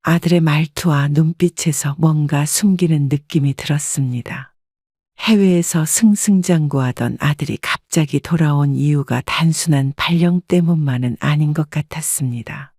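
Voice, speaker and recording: A woman calmly reading a scripted first-person family story as narrator. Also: low voice, normal speed, no room sound, studio quality.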